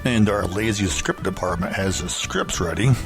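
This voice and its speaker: A man's voice: low voice, normal speed. A man co-hosting a podcast, reading a scripted promo with bits of banter. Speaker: podcast co-host. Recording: music bed with guitar underneath.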